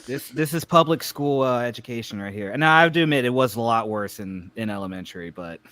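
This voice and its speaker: A man's voice: medium pitch, fairly quick, sharp tone, no room sound. A man with a slight lisp on his S sounds, chatting self-deprecatingly on a podcast video call. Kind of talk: casual group chat.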